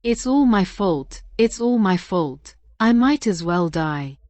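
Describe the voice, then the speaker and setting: A woman's voice: medium pitch, expressive, slow, a little mellow, no room sound. An actress playing a scheming, self-pitying older mother-in-law in a family melodrama, wheedling like a martyr. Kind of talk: dubbed family melodrama acting.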